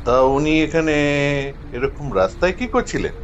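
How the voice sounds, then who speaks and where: An actor playing a stern, commanding police officer in an audio drama, brusque and interrogating, in slightly dull sound. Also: male voice, on the high side, wildly swooping pitch, moderate pace. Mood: firm. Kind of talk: Bengali audio drama acting.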